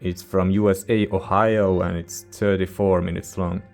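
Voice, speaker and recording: Male reviewer, non-native English speaker: low-pitched, very even intonation, informal and halting, rambling casually. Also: soft background music. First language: not English. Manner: hesitant, informal, searching for words. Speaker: music reviewer, channel host.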